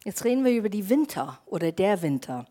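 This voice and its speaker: A woman's voice: mid-range voice, sing-song, brisk, dark tone. A woman pastor with an English accent, preaching in an informal, chatty way with fillers and slips in grammar. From half German, half English. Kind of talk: sermon.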